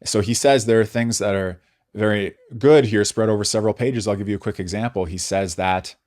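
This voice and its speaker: A man's voice: lowish voice, brisk, darkish tone. A man giving an unscripted philosophy lecture, thinking aloud, searching for words and restating his ideas. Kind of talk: unscripted explanatory lecture with read quotations.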